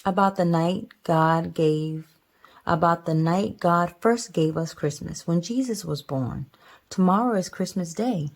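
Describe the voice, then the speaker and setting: A woman reading the part of a gentle mother bear who teaches her cub, in a storybook. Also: fairly low pitch, measured pace. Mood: tender.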